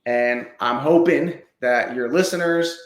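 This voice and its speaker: A man's voice: mid-range voice, unhurried. A man in his late thirties, a comedian guest chatting in an informal, conversational way. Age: late 30s. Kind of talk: casual chat. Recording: echoey, roomy sound.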